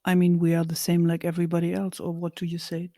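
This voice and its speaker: A German woman co-hosting a casual talk show in English, frank and lively, sometimes searching for words. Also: lowish voice, quick pace, no room sound. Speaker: co-host. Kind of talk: casual conversational chat.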